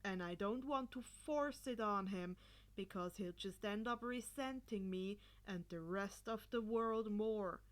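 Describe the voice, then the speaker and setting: A woman voicing a nonchalant, reserved husband in a story, with a deadpan, low-key delivery. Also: a little slow, lightly acted. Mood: calm, guarded.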